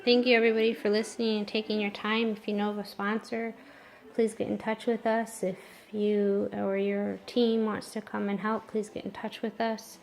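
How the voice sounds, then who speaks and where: A woman's voice: medium pitch, level tone, normal speed, creaky, darkish tone. A middle-aged American woman from Detroit hosting an informal interview and making an appeal to listeners. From Detroit, United States. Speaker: host, interviewer.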